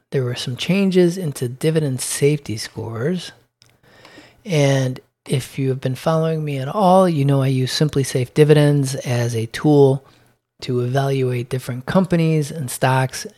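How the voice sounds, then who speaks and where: A man with a slightly hoarse voice talks through his investment holdings in a relaxed, unscripted way. He stops and restarts often, filling gaps with ums while reading out percentages. His voice sits at an ordinary middle pitch for a man. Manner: halting, many ums and restarts, reads figures aloud. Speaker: dividend investing vlogger. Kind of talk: casual monthly portfolio recap.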